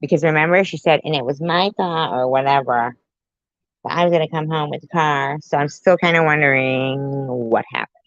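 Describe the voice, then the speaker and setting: A woman's voice: low voice, a little fast, dry recording, lo-fi sound. A woman doing sarcastic reaction commentary on a YouTube video, with mocking asides.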